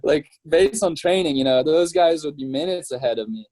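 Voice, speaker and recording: A man in his twenties from France, chatting casually as an interview guest, relaxed and laughing often. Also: on the high side, brisk, no echo, poor audio. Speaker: professional triathlete, guest.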